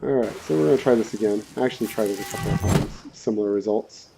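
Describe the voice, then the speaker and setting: A man's voice: on the low side, quick pace, gravelly, dark tone, low-quality recording. A man giving casual, rambling, laid-back commentary as he hosts a let's play. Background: game music and rain.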